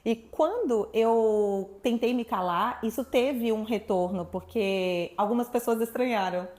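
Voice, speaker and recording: Chatty woman, fairly high voice. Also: unhurried. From Salvador, Brazil. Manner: very talkative, expressive storyteller. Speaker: guest, sexuality professional. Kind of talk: casual interview chat.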